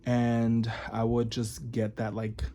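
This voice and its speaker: A man's voice: medium pitch, monotone, slow pace, dark tone, slight background noise. A man in his thirties talking candidly to camera in a rambling, filler-heavy confessional vlog. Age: 30s. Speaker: vlogger.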